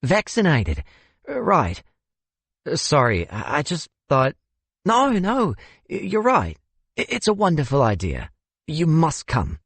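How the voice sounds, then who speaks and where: A man voicing a courteous, wistful older gentleman with a South African accent and a theatrical, hesitant manner in an audiobook. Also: fairly high pitch, huge pitch swings, takes it slowly, long pauses, slightly rough, dry recording, voice pushed up, heavily acted. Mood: flustered, hesitant. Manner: theatrical, hesitant, old-fashioned politeness.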